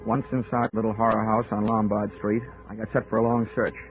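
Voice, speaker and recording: A man's voice: fairly low pitch, flat delivery, a little fast, faint music behind, lightly acted. An actor playing a wisecracking, hard-boiled male insurance investigator, sardonic and tough, in 1940s radio noir style. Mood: wry narration. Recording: tinny 1940s radio recording.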